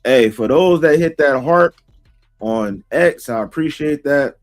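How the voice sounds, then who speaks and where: A man's voice: a little high, lively intonation, a little slow, soft background music. A man giving slangy commentary, talking straight to viewers. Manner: slangy, addresses viewers directly. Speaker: show commentator. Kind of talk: commentary to camera.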